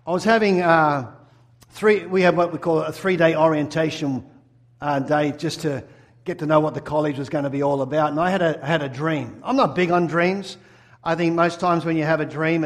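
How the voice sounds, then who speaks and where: Australian man, middle-aged, pastor, earnest sermon storytelling, slightly high voice. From Sydney, Australia. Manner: earnest storytelling, asks the audience questions. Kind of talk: sermon with personal testimony.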